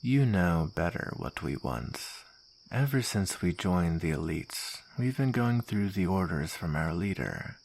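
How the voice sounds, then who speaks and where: A man's voice: low voice, big pitch swings, a little slow, vocal fry, darkish tone, exaggerated voice, rough recording. A man playing a smug soldier villain in an ASMR roleplay, taunting and drawling with mock sweetness and menace. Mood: coolly menacing.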